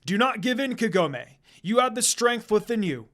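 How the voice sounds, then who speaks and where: Ghostly demon father played by a male reader: noble, shouting, urgent, raised pitch, rallying the listener in an audio story. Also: expressive, normal speed.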